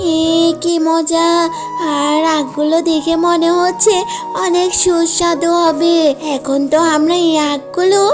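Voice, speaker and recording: A woman puts on a chirpy young fox girl for a cartoon, high-pitched and eager, clever and excitable. Music plays behind the voice. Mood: delighted. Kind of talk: cartoon character dialogue.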